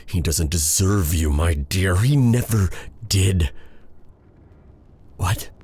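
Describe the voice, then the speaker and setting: A man playing a proud but tender dark sorcerer in an intimate ASMR roleplay drama, speaking with halting, theatrical courtliness in a breathy, gravelly voice. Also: low-pitched, lively intonation, exaggerated voice. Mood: shocked, sympathetic, then flustered.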